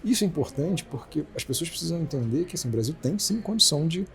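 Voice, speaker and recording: A Brazilian man, a company president, explaining fast and animatedly with many restarts in a casual business interview. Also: fairly high pitch, expressive, a bit bright, slight background noise. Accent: Brazilian Portuguese.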